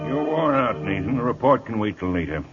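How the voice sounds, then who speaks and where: An actor playing a seasoned army major, a gruff but fatherly post commander, firm and plain-spoken, in a vintage radio drama. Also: medium pitch, animated, brisk, music in the background, close to an everyday voice. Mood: firm, concerned. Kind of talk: 1940s-50s radio drama acting. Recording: muffled vintage radio broadcast.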